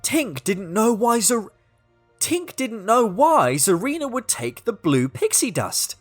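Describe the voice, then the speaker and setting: Slow, very animated woman storyteller. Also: fairly high pitch, soft background music. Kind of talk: expressive scripted children's story narration.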